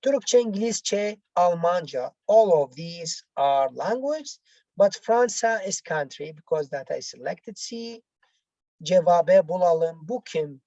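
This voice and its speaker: Casting: female language teacher, non-native English speaker, unhurried and halting, soft-edged mellow tone, walking learners through exercises. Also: lowish voice, no room sound, dull audio. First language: not English. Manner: halting, repetitive, explaining step by step. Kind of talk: bilingual lesson, reading out exercises and explaining answers.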